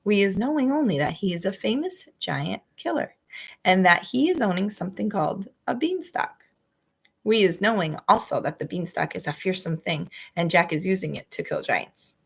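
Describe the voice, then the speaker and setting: Lady doing a soft whispery friendly giant, kinda gravelly, funny grammar. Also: medium pitch, animated, moderate pace. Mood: whispering. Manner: hushed, quietly secretive. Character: big friendly male giant, gentle, mangled grammar.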